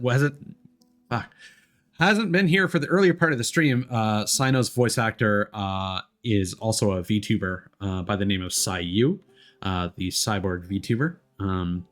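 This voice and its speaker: A man's voice: lowish voice, fairly bright tone. A man streaming a game, chatting casually and hesitantly as he answers viewers and drifts into asides. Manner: hesitant, digressive, replying to viewers. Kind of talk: casual live-stream chat.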